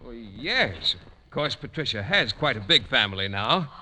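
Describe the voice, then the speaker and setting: Earnest gentle fellow, middle pitch, animated intonation, polite, radio comedy, loud hiss. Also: male voice, a little slow. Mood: mild, friendly. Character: mild, earnest, oblivious adult male frog lover. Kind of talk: 1940s-50s radio comedy acting. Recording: old radio recording, heavy noise.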